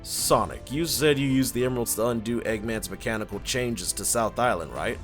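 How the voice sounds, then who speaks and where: A man voicing a suspicious, hot-tempered young echidna guardian with confrontational, skeptical delivery in an animated fan dub. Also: mid-range voice, normal speed, soft background music, close to an everyday voice.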